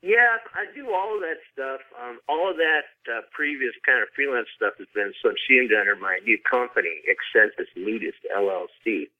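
A man's voice: mid-range voice, expressive, moderate pace, hardly any pauses. A middle-aged man giving casual, rambling interview answers with frequent hesitations, speaking as a guest over a call. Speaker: podcast guest, author and consultant. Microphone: phone or remote call. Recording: narrow, tinny phone-line sound.

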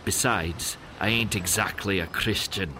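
An actor playing a crude, mocking riverboat captain with a rough rustic dialect and a sneering manner in an audio drama. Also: male voice, sits low, unhurried. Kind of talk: full-cast audio drama acting. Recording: loud background ambience noise.

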